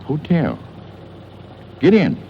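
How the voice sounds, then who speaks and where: A man's voice: a little high, wildly swooping pitch, slow, creaky, exaggerated voice. An actor playing a plain-spoken small-town man in 1940s radio style, with folksy, clipped phrasing. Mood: gruffly friendly. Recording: muffled, noisy 1940s radio recording.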